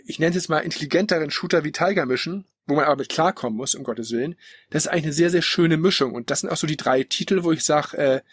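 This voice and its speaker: Higher-voiced man with a slightly husky tone. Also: dry recording, clean studio sound. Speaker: podcast host. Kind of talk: casual retro gaming podcast talk.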